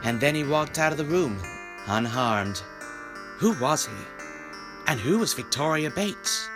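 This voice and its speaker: An actor playing an earnest, inquisitive newspaper writer turned detective in an audio drama, speaking dramatically. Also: male voice, on the high side, expressive, measured pace, music in the background. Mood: brooding narration. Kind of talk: audio drama acting and first-person narration.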